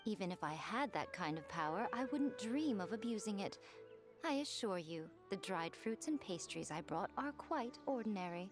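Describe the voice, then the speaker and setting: A woman's voice: mid-range voice, expressive, moderate pace, close to an everyday voice. Woman voicing a poised, teasing, elegant lady mentor. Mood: amused, composed. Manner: composed, refined, gently teasing. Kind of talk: anime video game voice acting. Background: faint game music.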